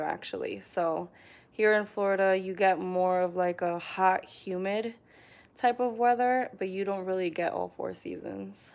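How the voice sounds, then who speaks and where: A woman's voice: fairly low pitch, darkish tone. A young woman from New York chatting casually on a live stream, hesitant with many ums, in her living room. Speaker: vlogger reading live chat comments. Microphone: camera on a tripod.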